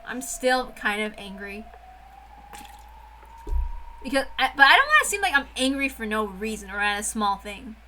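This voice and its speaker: A woman's voice: a little high, very animated, normal speed, long pauses, pushed and bright, a little hiss or hum. A woman hosting a read-aloud series, chatting casually in an emphatic, informal way. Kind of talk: casual chat and dramatic reading of a visual novel.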